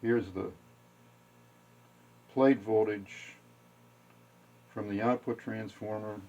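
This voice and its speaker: A man giving a casual hands-on technical demonstration, thinking aloud in a halting, matter-of-fact way. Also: lowish voice, fairly even intonation, a little mellow. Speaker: radio repair hobbyist demonstrating. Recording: dull lo-fi home video audio.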